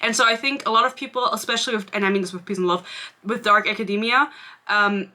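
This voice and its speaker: A woman's voice: medium pitch, quick pace, bright, forceful tone. A young woman in her twenties whose first language is German, chatting casually as a book vlogger, rambling and sarcastic, laughing often. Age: 20s. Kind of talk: casual chat.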